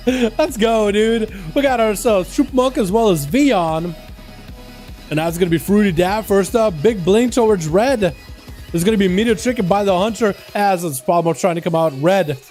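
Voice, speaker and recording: A man's voice: high voice, normal speed, darkish tone, soft background music. A young man from Russia casting video game duels live, chatting loosely with his viewers and calling them "boys.". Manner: chatty, calls out to chat as "boys". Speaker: livestream host and duel caster. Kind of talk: casual game commentary.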